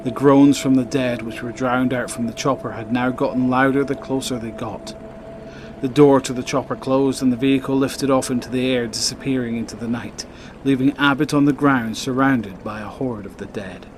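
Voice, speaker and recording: A man's voice: mid-range voice, steady tone, moderate pace, background noise. A man narrating a scripted audio drama as a dramatic storyteller.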